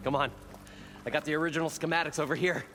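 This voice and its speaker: An actor playing an eager, excitable inventor and dreamer in a video game, with a flowery showman's way of talking. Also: on the high side, quick pace, soft background music. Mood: friendly, eager. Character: eager, showy male treasure-diving inventor and dreamer. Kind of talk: video game cutscene acting.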